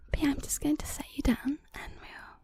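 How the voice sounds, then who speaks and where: A woman whispering in a casual ASMR chat, gently rambling and unhurried, as host of her own video. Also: high-pitched, flat delivery. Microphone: portable handheld audio recorder. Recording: close whispered recording on portable recorder.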